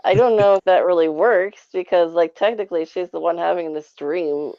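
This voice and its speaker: Low-voiced woman with some creak, chatting casually. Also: moderate pace, no echo, muffled recording. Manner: rambling, informal, frequent 'like'. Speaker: podcast guest reviewer. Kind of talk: casual review chat.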